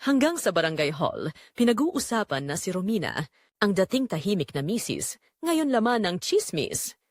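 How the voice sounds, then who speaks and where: A woman with a Filipino accent giving an expressive, measured, scripted narration of a dramatic story. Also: mid-range voice, no room sound, studio quality.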